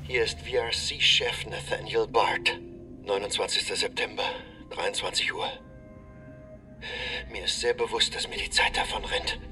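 An actor playing a desperate, embittered corporate scientist recording a solemn, urgent confession in an audio drama. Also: male voice, on the low side, a little slow, pauses often, far from the mic, music in the background, lightly acted. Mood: grave, confessional.

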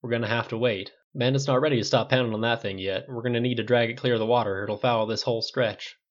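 A man voicing a practical, level-headed male ranch hand with a dry, measured manner in an audiobook story. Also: medium pitch, fairly even intonation, muffled recording, lightly acted.

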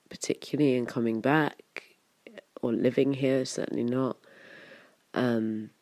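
Gravelly woman hosting a podcast. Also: extremely low pitch, mellow, dry recording. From England, Wiltshire. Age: 30s. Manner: rambling, chatty, full of "kind of" and "like". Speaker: podcast host. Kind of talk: casual podcast introduction.

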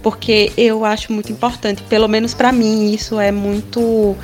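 A woman's voice: medium pitch, flat delivery, moderate pace, a little mellow, soft background music. A woman with a São Paulo accent in a casual chat among friends, speaking informally and reflectively with frequent check-in tags. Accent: São Paulo Brazilian. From São Paulo, Brazil.

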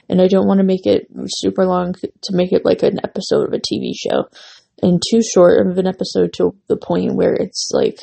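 A woman's voice: fairly low pitch, gravelly, mellow, husky. A young woman hosting a casual solo podcast, rambling with many fillers and restarts while she is losing her voice and has a runny nose. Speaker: podcast host.

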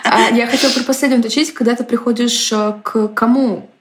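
A woman in a casual conversation, speaking thoughtfully and with measured hesitation. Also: fairly high pitch, steady tone, noisy background.

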